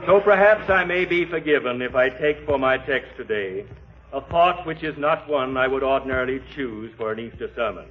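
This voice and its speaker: An actor playing a solemn, earnest preacher delivering a measured, oratorical sermon in a 1940s radio drama. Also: male voice, mid-range voice, expressive, slight background noise, natural voice. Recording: muffled 1940s radio recording, music behind.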